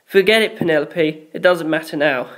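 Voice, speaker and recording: A woman's voice: sits low, moderate pace, lightly acted, low-quality recording. A woman voicing an awkward, nervy teenage boy who is defensive and blurts things out in a flustered way. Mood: dismissive.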